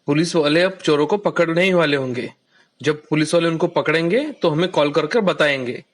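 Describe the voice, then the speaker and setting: Man voicing a humble village father, fairly high-pitched. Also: moderate pace, lightly acted. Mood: reassuring, resigned. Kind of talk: children's animated story acting.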